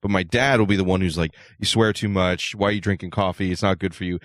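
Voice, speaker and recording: A man with a lowish voice co-hosts a comedy show. He talks casually, as in everyday conversation. The sound is dry and free of room echo. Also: fairly even intonation, fast talker. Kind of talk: casual chat.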